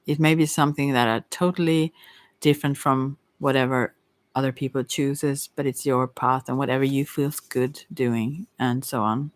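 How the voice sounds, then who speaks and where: A tarot reader talking casually and hesitantly, thinking aloud while answering questions, in a soft voice that at times drops to a whisper. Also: mid-range voice, steady tone, moderate pace, no room sound. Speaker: tarot reader hosting a live reading.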